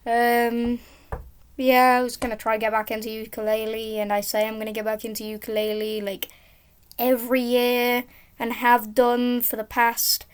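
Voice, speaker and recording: A woman with a high voice talks through her gameplay, chatty and wandering from one topic to the next. Her pitch sits high but stays fairly level, without much rise and fall. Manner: rambling and chatty, drifting off topic. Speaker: gaming YouTuber. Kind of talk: casual commentary while playing a game.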